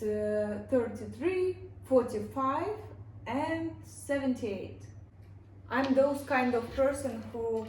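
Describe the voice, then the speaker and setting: A non-native English-speaking woman giving a casual unboxing and product review in short, halting phrases. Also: fairly high pitch, a little mellow. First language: not English. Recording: echoey room, distant mic, faint noise.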